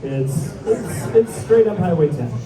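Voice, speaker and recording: A man's voice: a little high, lively intonation, unhurried, far from the mic. A man in his fifties emceeing a team event, introducing players with teasing, upbeat banter. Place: large indoor event hall. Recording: echoey hall from afar with crowd.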